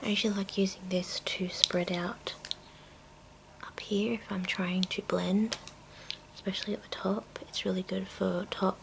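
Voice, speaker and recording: A woman hosting an ASMR video in a breathy, often whispered voice, speaking haltingly in short phrases as she shows off products. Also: mid-range voice. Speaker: video host. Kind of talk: ASMR, whispered and soft-spoken product show-and-tell. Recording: very noisy, with clicks and crinkling. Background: tapping, clicking and crinkling of handled objects.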